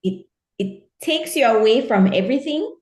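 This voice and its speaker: A woman's voice: on the high side, lively intonation, normal speed, a little mellow. Chatty Jamaican woman in an echoey room. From Kingston, Jamaica. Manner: friendly, chatty, laughs often. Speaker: podcast host. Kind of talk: casual interview chat.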